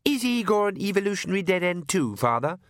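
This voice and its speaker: A man voicing a petulant young vampire daughter with a sharp, whining, complaining tone in an audiobook. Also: fairly high pitch, huge pitch swings, moderate pace, dry recording, studio quality, pitched above the performer's own voice. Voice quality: sharp, whiny. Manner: complaining, vexed.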